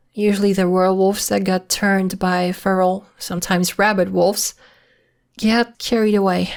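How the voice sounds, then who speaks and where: A woman acts out a young female half-werewolf for an intimate ASMR roleplay. She speaks very slowly at a medium pitch, gentle and a little hesitant. She comes across as polite, guarded and protective, explaining things earnestly to one listener.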